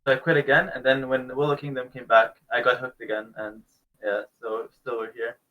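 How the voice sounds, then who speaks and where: A man's voice: medium pitch, level tone, brisk, dull audio. A young man from Canada, answering interview questions over a video call in a laid-back way, chuckling now and then. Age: young adult. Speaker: guest.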